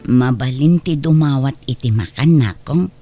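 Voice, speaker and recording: A woman playing a confused, gentle old homeless woman in a radio drama, wistful and wandering in her speech. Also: very deep voice, moderate pace, music in the background. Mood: gentle, concerned. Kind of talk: Ilocano radio drama acting.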